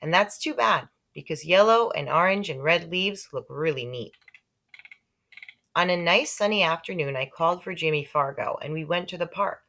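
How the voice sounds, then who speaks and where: Bright, animated woman reading a children's story. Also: on the low side, normal speed. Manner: animated, with character voices for dialogue. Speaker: audiobook-style reader. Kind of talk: expressive read-aloud of a children's story.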